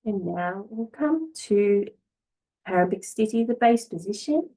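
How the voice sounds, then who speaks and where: Calm lady yoga teacher, mid pitch, really slow n gentle guided relaxation, lots of pauses. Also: low-quality recording. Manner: calm, gentle, unhurried, with long pauses between short phrases.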